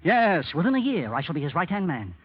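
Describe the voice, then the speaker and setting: A man playing a bitter, coldly calculating blackmailer in an old radio drama, taunting and scornful with a theatrical, deliberate delivery. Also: a little high, big pitch swings, breathy edge. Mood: fierce, ambitious. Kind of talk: 1930s radio drama acting. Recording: dull, lo-fi old radio recording.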